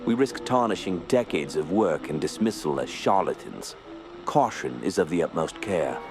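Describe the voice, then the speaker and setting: An actor playing a stern, cautious senior professor in a radio drama, speaking formally and gravely. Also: male voice, low voice, animated, normal speed. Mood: guarded, grave.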